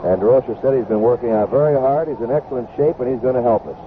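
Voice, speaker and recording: A man's voice: mid-range voice, quick pace. An American man calling baseball play-by-play as a live radio announcer. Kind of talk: live radio sports commentary. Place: ballpark broadcast booth. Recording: noisy, tinny 1970s AM radio broadcast.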